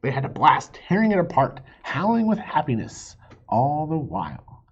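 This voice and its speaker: A man reading a children's story aloud in a playful, expressive way, with a husky voice. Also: fairly high pitch, moderate pace, dull audio. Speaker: storybook reader.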